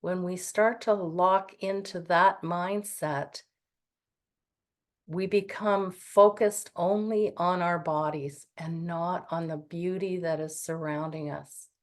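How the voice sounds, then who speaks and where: A woman guiding a meditation, speaking in a calm, gentle and soothing way with long pauses. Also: lowish voice. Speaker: meditation guide. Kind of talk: guided meditation.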